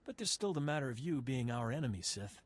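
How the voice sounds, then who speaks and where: Casting: a stern guardian of peace in a space-fantasy game, medium pitch, husky, grave. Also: male voice, big pitch swings, fast. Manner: grave, principled, formal. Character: stern, righteous male Jedi master guarding peace. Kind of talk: video game dialogue acting.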